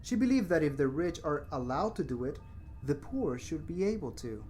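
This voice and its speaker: A man's voice: on the high side, sing-song, moderate pace, darkish tone, soft background music. Man acting a guarded, subdued morgue technician. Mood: matter-of-fact. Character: guarded male morgue technician, grieving a friend. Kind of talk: audio drama acting.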